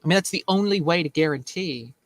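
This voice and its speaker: A man's voice: a little high, fast talker, no room sound. A man co-hosting a casual chat, reacting in an emphatic, exclamatory way.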